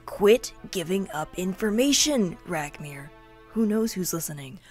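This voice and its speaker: An actress playing a distrustful, self-serious elven rebel leader in a fantasy audio drama, stern and curt. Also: female voice, fairly low pitch, animated, a little slow, darkish tone, soft background music. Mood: suspicious, stern.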